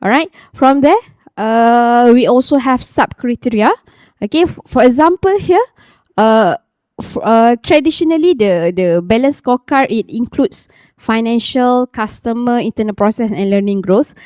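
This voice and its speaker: A woman's voice: fairly high pitch, animated, darkish tone, no room sound. Hesitant Malaysian woman presenting research. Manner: halting, frequent fillers and restarts, repeats "okay". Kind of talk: academic conference talk.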